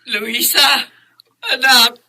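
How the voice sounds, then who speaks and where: A woman's voice: on the high side, steady tone, extremely slow, creaky, sharp tone, full character voice. An actress playing a sorrowful elderly grandmother on the phone in a Filipino radio drama, tearful and subdued.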